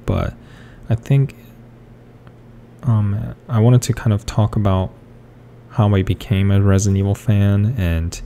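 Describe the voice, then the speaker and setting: A man's voice: on the low side, warm tone, slight background noise. A young man from South Korea whose first language is Korean, talking hesitantly over his drawing process with many fillers and restarts. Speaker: artist. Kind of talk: rambling speed-paint commentary.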